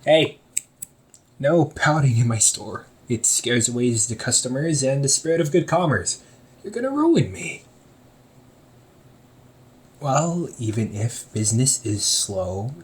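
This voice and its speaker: A man's voice: medium pitch, expressive, a little slow. A young man playing an easygoing shop owner talking to his sibling in a one-sided audio roleplay, teasing and laughing often. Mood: scolding.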